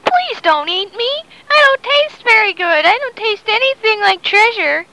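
A woman's voice: extremely high pitch, big pitch swings, takes it slowly, pushed and bright, slight background noise, pitched above the performer's own voice, exaggerated voice, low-quality recording. A woman voicing a timid, naive child held by pirates in a story, hesitant and pleading. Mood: pleading, innocent.

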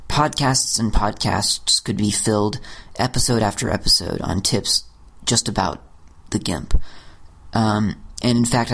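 A man closing a guest software tutorial podcast, explaining in a relaxed, instructive way. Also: lowish voice, gravelly.

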